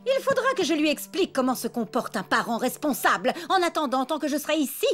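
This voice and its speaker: High-pitched, haughty cartoon babysitter. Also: female voice, very animated, fast, a bit bright, soft background music. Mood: bossy, fussy. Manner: condescending, fussy, scolding. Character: prim, haughty, bossy adult female elephant babysitter. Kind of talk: French cartoon dubbing.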